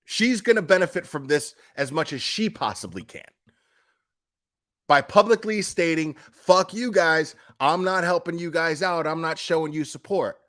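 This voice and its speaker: A man with a slightly high voice talks off the cuff like an online streamer. His delivery is blunt and emphatic, with coarse, opinionated phrasing in a casual commentary style. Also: animated, normal speed, fairly bright tone. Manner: blunt, foul-mouthed, emphatic.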